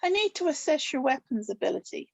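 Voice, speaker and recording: A woman's voice: sits high, sing-song, fast talker, vocal fry, full character voice. A woman playing a stern, evasive old witch grandmother in a drama script table read.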